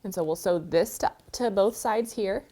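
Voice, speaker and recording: A woman leads a relaxed sewing lesson at a mid-range pitch. She chats through each step as she works, and she sounds slightly stuffy from a cold. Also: normal speed. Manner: chatty, walks through steps while working. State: has a cold, sniffling. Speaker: quilting show host. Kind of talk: friendly sewing tutorial. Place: sewing studio indoors.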